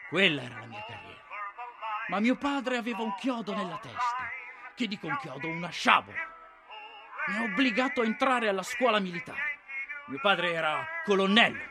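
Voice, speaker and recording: A hard-drinking career officer in a radio drama, bitter and philosophical. His voice is high and swings widely as he declaims his grievances, with clear music behind him. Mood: bitter, frank. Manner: declamatory, rhetorical, emphatic. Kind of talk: theatrical radio drama monologue.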